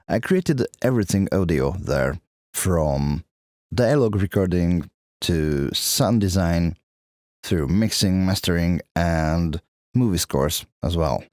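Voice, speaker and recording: A man with a Hungarian accent giving a casual video update in measured, plain-spoken, non-native English. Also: sits low, darkish tone, dry recording, studio quality. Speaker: musician and video channel host.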